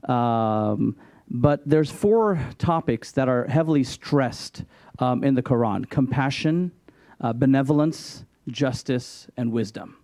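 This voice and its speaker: A man speaking as an imam at a public hearing panel, explaining his faith in a measured way with light self-deprecating humor. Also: mid-range voice, warm tone, no echo.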